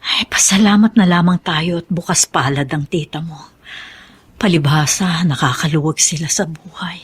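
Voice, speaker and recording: A woman's voice: low voice, normal speed, breathy edge. A woman playing a long-suffering, outspoken mother and wife in a Filipino radio drama. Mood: weary, cautioning.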